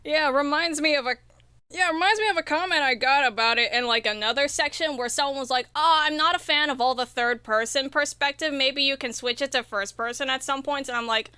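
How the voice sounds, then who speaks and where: A woman's voice: high voice, a little fast, bright, forceful tone, no echo. A woman guesting on a podcast as an indie game developer, chatting casually in a slangy, emphatic way. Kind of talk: casual interview chat.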